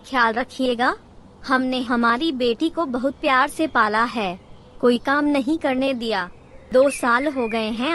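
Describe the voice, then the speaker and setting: Lady doing a naggy old bird mother in law, cartoon, really high voice, slow whiny complaints. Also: fairly bright tone, a little hiss or hum. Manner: complaining, taunting, drawn-out dramatic delivery. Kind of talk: Hindi cartoon character acting.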